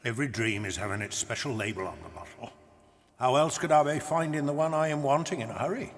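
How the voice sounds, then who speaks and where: An actor playing a gentle, timid old giant in an audiobook drama, speaking earnestly in muddled, homely grammar. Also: male voice, medium pitch, fast talker, long reverb tail, close to an everyday voice. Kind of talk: full-cast audiobook dramatization.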